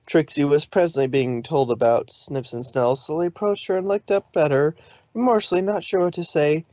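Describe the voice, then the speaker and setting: A man's voice: a little high, a little fast, warm tone, dry recording, dull audio. A man narrating a fan fiction story from a script.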